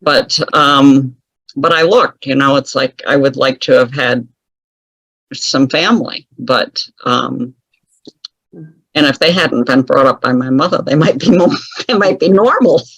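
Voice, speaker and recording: A woman's voice: a bass voice, a little slow, fairly bright tone, slightly rough, no echo, rough recording. Chatty woman guest spinning a story, wry jokes, dry close sound. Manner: chatty, wry, laughs often at her own stories. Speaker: guest author. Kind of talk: casual storytelling interview.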